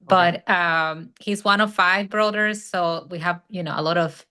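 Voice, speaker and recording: A woman's voice: lowish voice, fairly even intonation, measured pace, bright, forceful tone, clear, resonant tone. A woman in her forties from the Dominican Republic with a Dominican Spanish accent, a chatty podcast guest who laughs often, on a video call. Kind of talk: casual interview chat.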